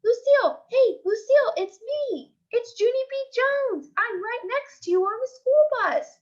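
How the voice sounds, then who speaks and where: A woman voicing an excitable, opinionated little kindergarten girl in a children's chapter book, childlike and dramatic. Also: very high voice, unhurried, lo-fi sound, pitched above the performer's own voice. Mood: excited, shouting.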